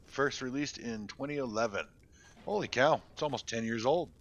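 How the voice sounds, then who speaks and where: A man's voice: medium pitch, normal speed, fairly bright tone, a little hiss or hum. A man streaming a game, chatting casually with viewers in a rambling way and muttering under his breath between remarks. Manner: rambling, talks to chat while playing, drops into mutters. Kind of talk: casual live-stream chat. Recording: dull lo-fi stream audio.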